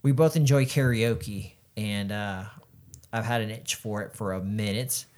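A man's voice: lowish voice, measured pace, a little mellow. A man co-hosting a casual podcast, talking in a laid-back way with lots of fillers. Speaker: podcast co-host, photographer. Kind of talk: casual chat.

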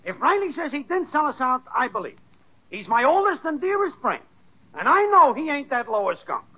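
An actor playing an excitable, sarcastic working-class pal in a 1940s radio sitcom, with punchy, ungrammatical wisecracks. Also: male voice, very high voice, normal speed, full character voice. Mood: loyal, earnest. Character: sarcastic, excitable working-class male buddy, easily riled. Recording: dull, noisy vintage radio recording.

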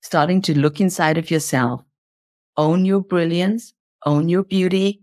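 A woman's voice: fairly low pitch, unhurried, a little mellow, dry recording. A woman speaking as an interview guest, explaining in an impassioned motivational style and repeating phrases for emphasis.

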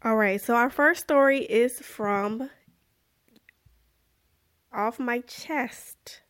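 A young woman hosting a podcast in a casual, chatty way, still a little congested from being sick, with animated exclamations. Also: a little high, very slow. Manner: chatty, animated, self-deprecating, exclaims "woo, child". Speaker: podcast host. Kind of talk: casual podcast chat and story reading.

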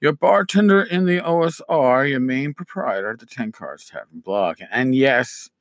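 A middle-aged man talks casually as a podcast host, his voice scratchy and worn as he loses it. He rambles, pausing on fillers and restarting his sentences. The sound is dry and close, with no room around it. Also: mid-range voice, expressive, no echo. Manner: rambling, with many "uh" pauses and restarts. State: losing his voice, scratchy throat. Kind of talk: casual solo podcast talk.

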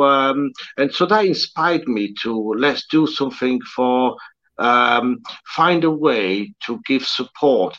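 A man's voice: medium pitch, fairly bright tone, highs cut off. Rambling Bradford man with an Italian touch. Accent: Bradford English with an Italian touch. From Bradford, England. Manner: rambling, filler-heavy, repeats words while searching. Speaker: interview guest, events organizer and TV presenter. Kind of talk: casual conversational interview.

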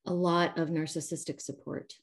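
A woman from the American Midwest with a Midwestern accent mixed with Southern, calmly explaining her coaching work as an interview guest over a video call. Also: low voice, normal speed, slightly rough. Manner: calm, explanatory, frequent um and you know. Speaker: trauma recovery coach, guest. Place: home, calling in remotely.

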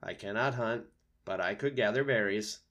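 A man voicing a polite, emotionless female robot in an animal story, speaking in a measured, formal way. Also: lowish voice, fairly bright tone. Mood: calm, neutral.

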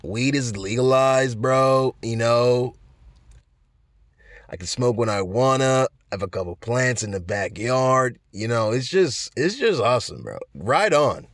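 A man's voice: mid-range voice, expressive, a little slow, no room sound. A rambling, laid-back aging stoner, put on by a man, drawling his words and tacking "man" onto everything. The sound is dry and close, with no room around it. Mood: mellow griping. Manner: drawling, peppered with "man" and "bro".

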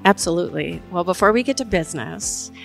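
A woman's voice: fairly low pitch, expressive, brisk, vocal fry, soft background music. A middle-aged woman, a mayor hosting a monthly podcast, friendly and chatty as she introduces and questions her guest. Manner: friendly, chatty, a bit scripted. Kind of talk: podcast interview.

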